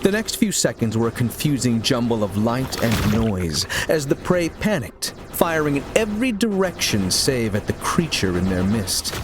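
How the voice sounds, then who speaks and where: A man giving a dramatic, deliberate and suspenseful narration of a scripted audio story, with theatrical phrasing. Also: medium pitch, very animated, background noise. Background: music.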